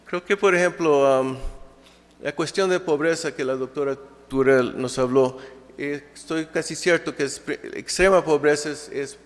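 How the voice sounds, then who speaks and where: A man's voice: fairly high pitch, normal speed. A man giving an academic conference talk in a language that is not his first, with frequent fillers and restarts. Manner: hesitant, many fillers and restarts; speaking a second language. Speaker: conference speaker. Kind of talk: academic presentation. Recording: reverberant lecture hall.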